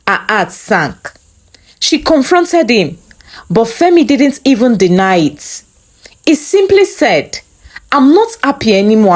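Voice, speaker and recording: A woman reading a scripted dramatic story as narrator. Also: medium pitch.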